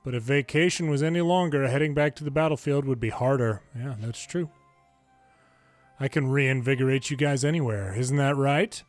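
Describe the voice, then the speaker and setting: A man's voice: mid-range voice, normal speed, dry recording, soft background music. A man hosting a game video, reading the story dialogue aloud in an informal, amateur way with casual asides. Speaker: gameplay video host reading subtitles aloud.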